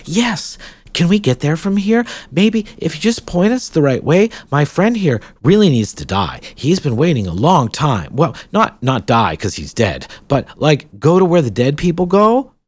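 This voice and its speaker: A woman's voice: deep voice, very animated, moderate pace, darkish tone, breathy edge, dry recording, voice pushed up, full character voice. A man voicing a young, nervous witch girl who rambles hesitantly with lots of fillers. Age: young adult.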